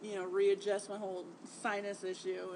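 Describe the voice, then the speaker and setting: A woman co-hosting a casual podcast, congested and stuffy from a cold, chatty and quick to laugh. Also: mid-range voice, flat delivery, darkish tone. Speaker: podcast co-host, groomer. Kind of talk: casual chat. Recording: noisy home recording.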